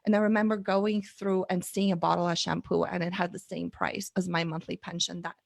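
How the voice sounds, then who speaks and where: A woman's voice: fairly low pitch, level tone, brisk, vocal fry, no room sound, clean studio sound. A woman, telling an earnest story as an interview guest over a video call, with many fillers. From Bulgaria. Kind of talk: casual interview chat.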